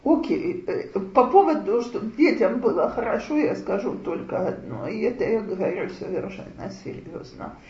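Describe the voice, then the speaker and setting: A woman giving a lecture on raising children, talking in a slow, measured way with frequent pauses to think. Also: mid-range voice, expressive, darkish tone. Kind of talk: lecture with audience discussion. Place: lecture room with audience. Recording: roomy, muffled lecture recording.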